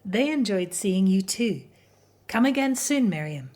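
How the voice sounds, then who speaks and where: A woman playing a practical, disapproving Hebrew wife and mother with a husky voice in a scripted radio drama. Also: mid-range voice, lively intonation, a little slow, dark tone, lightly acted. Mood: concerned.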